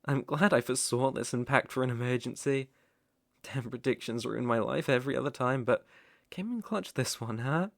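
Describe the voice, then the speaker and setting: A British man playing a devoted, possessive stalker in an intimate ASMR roleplay, tender and wry with halting phrasing. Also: medium pitch, vocal fry, fairly bright tone, no room sound, clean studio sound. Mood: wry, musing. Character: devoted, possessive male stalker, outdoorsy and softly protective.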